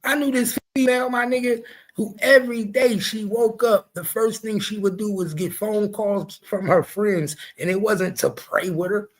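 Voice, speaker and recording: A man's voice: high-pitched, moderate pace, poor audio. A man, hosting a casual chat and telling stories bluntly and with lots of profanity. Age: 40s. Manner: blunt, profane, animated storytelling. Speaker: show host.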